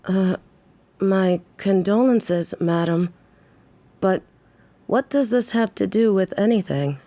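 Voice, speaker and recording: Actress as hard-edged lady gangster, measured and slow, formal but threatening, dry close recording. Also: mid-range voice, mellow, no echo, natural voice. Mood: guarded, respectful. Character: tough, protective female mafia boss, formal yet foul-mouthed. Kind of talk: audio drama acting.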